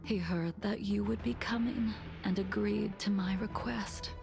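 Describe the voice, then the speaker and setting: A remorseful swordswoman who speaks in a slow, hushed half-whisper with a gravelly rasp underneath. She sounds calm and distant, and game music plays behind her. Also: medium pitch. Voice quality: soft, whispery delivery. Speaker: game character. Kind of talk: game cutscene voice acting.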